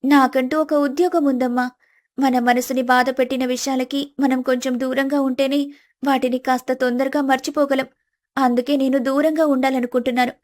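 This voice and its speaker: Young independent wife, played by a woman, high voice, measured, polite and resolute, storybook drama. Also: flat delivery, darkish tone, close to an everyday voice. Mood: gentle, resolute. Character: calm, firm, independent young married woman.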